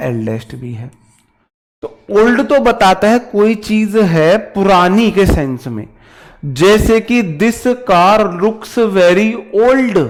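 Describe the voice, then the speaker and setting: A man with an Indian accent teaching a grammar lesson informally, drilling points by repetition and addressing students familiarly. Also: high-pitched. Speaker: English grammar teacher for exam candidates. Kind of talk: informal classroom-style grammar lesson, switching between Hindi and English.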